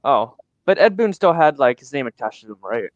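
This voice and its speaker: A man's voice: mid-range voice, very animated, a little fast, no room sound, dull audio. A man chatting casually as a panelist on a podcast. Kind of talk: casual chat.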